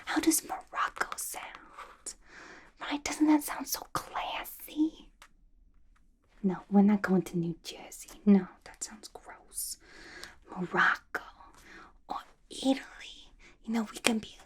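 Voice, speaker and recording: A woman's voice: on the high side, takes it slowly, long pauses. A woman playing a playful, unhinged, gossipy female villain inmate in a chatty, conspiratorial whispered ASMR roleplay. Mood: dreamy, chatty.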